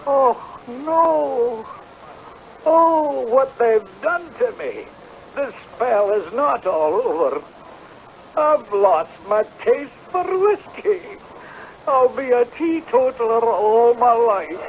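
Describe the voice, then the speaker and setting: A man playing an anxious, excitable Scottish piper who loves his whisky, in a theatrical radio drama with a Scottish accent. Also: very high voice, slow pace, exaggerated voice. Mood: dismayed. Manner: theatrical, exclamatory. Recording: muffled, noisy old radio broadcast.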